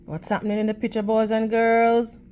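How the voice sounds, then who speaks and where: A woman reading a picture book aloud to children in a teacherly manner, with deliberate, emphatic phrasing and questions for her young listeners. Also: a little high, monotone, mellow, clear, resonant tone. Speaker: read-aloud storytime host for children.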